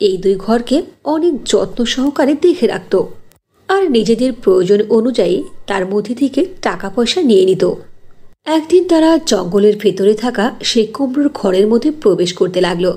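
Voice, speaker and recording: A woman's voice: mid-range voice, lively intonation, a little fast, dark tone, breathy edge. A woman narrator reading a scripted fairy tale in a storytelling style.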